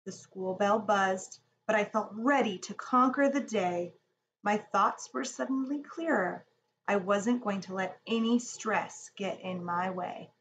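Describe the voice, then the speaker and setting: A woman reads a children's story and guides relaxation gently. Her voice sits at an average pitch and moves slowly and calmly. There is a faint layer of background noise.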